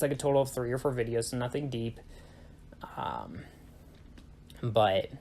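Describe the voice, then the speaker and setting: A young man hosting a gaming channel, talking casually about its plans with lots of fillers and self-corrections. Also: medium pitch. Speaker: YouTube gaming channel host. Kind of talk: casual channel intro talk.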